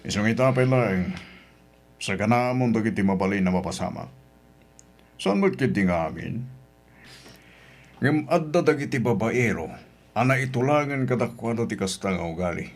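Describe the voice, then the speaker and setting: A man's voice: on the low side, expressive, moderate pace, long pauses. A man acting a protective middle-aged father in a radio drama, speaking in a cautious, admonishing, paternal way.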